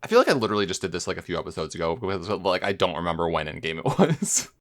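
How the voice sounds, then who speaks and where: Man, slightly deep, animated pitch swings, casual podcast discussion, rambling and questioning. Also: very fast. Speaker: podcast host.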